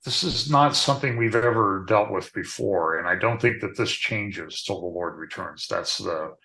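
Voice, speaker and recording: A man talking as a guest in a relaxed, plainspoken way. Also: fairly low pitch, moderate pace, creaky. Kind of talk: casual conversation.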